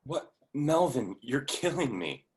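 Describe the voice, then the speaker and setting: An actor in a staged play reading, playing a blunt but awkwardly tactful investor friend, with a wry, conversational delivery. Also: male voice, medium pitch, big pitch swings, slow, a little hiss or hum. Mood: teasing.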